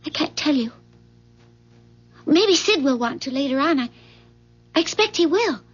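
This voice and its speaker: An actress playing a timid, secretive neighbor in a 1970s radio drama, speaking in a halting, guarded way. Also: female voice, sits high, expressive. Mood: reluctant, anxious. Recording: muffled vintage radio broadcast.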